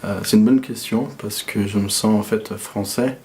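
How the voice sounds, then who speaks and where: A man's voice: on the low side, steady tone, normal speed, a little mellow, slight background noise. Young man musing hesitantly. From France. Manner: hesitant, many fillers and restarts. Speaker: interviewee. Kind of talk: casual reflective talk.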